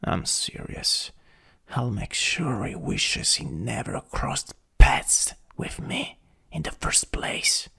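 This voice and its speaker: Casting: male actor as possessive, teasing supervillain for ASMR roleplay; Italian accent, breathy, husky, hugely varied intonation. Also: mid-range voice, huge pitch swings, pushed and bright, exaggerated voice. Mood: jealous, menacing. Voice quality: breathy, often whispering. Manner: slow, teasing, lingering delivery.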